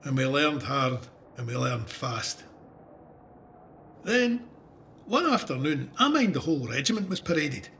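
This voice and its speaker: A man's voice: medium pitch, very animated, measured pace, creaky, long pauses, a bit bright, slight background noise, heavily acted. An actor playing a plain-spoken Ayrshire farmer and war veteran, telling his memoir in a reflective, conversational Scottish voice. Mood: steady recollection. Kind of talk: dramatised first-person memoir narration.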